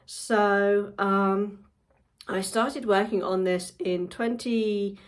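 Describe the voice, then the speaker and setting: A woman's voice: mid-range voice, steady tone, extremely slow. A woman in her forties, a book coach, chatting casually to camera at home with frequent ums. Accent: non-Japanese English accent. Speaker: book coach and channel host.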